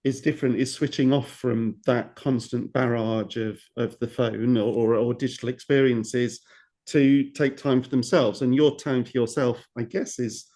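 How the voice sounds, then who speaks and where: A man's voice: medium pitch, fairly even intonation, normal speed, dark tone. A man hosting a podcast interview, asking long, rambling questions full of restarts and repeated words. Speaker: podcast host.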